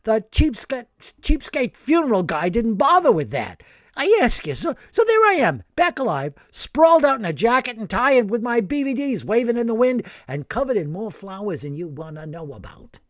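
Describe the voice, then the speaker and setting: A man voicing a brash, excitable older working-class man who tells his story in animated slang. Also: very high-pitched, normal speed, dry recording, studio quality, voice pushed up. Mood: excited.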